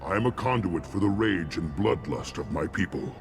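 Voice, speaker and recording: A man voicing a solemn, zealous alien warrior shaman in a video game, gravelly and ceremonial in his grand declarations. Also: deep voice, animated, moderate pace, soft background music. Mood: zealous, grandiose. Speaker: clan shaman.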